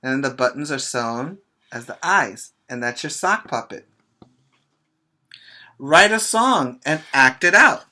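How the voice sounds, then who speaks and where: A middle-aged man, a preschool teacher, reading and explaining to young children in a simple, expressive way with short asides. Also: mid-range voice, slow pace. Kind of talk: reading a children's book aloud and chatting to young pupils. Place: small room, indoors. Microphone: webcam or laptop mic at arm's length.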